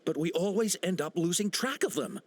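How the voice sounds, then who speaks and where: A jittery captured smuggler with a high, strained voice, stumbling over his words and piling up nervous uhs. Faint game music runs underneath. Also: lively intonation, a little fast, noisy background. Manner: stammering, full of uh and nervous restarts. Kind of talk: game cutscene voice acting.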